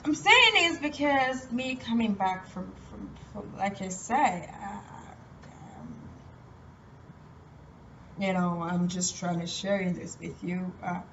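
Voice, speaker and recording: A young woman from Cameroon talking about her life in a personal motivational vlog, halting and full of restarts. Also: medium pitch, lively intonation, vocal fry, bright, forceful tone. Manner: hesitant, halting, many restarts. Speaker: vlogger. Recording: distant, muffled, light noise.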